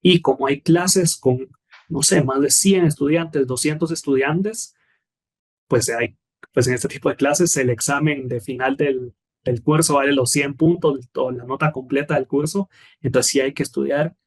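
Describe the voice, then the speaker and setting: A young Guatemalan man answering interview questions in a measured way, often restarting phrases and ending points with a tag question. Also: medium pitch. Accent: Guatemalan Spanish. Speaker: guest, former scholarship student.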